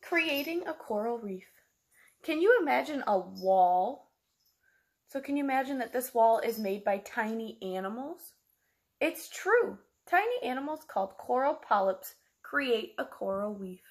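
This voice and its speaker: A woman's voice: on the high side, animated, a little slow. A woman, reading a picture book aloud like a teacher, explaining simply to young children and asking them questions. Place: small room at home, indoors.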